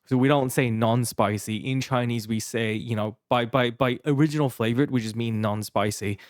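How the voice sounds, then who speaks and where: A man's voice: mid-range voice, steady tone, normal speed, dry recording, studio quality. Taiwanese-accented man telling a rambling story. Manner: rambling, many restarts and fillers, laughing while telling. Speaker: podcast co-host. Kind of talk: casual storytelling.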